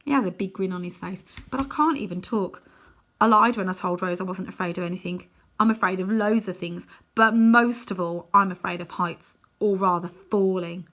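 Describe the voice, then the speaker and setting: A woman narrates a children's adventure story. Her voice is mid-pitched, bright and forceful. She reads expressively, leaning into the drama with animated emphasis. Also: moderate pace. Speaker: storyteller reading a children's novel aloud.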